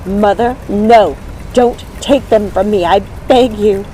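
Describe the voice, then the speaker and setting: A fierce young witch acted by a woman reader, protective of her own. She pleads and commands intensely in a bright voice, in a dark fantasy storybook with faint music. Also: medium pitch, slow pace, a little hiss or hum, natural voice, poor audio. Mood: defiant pleading.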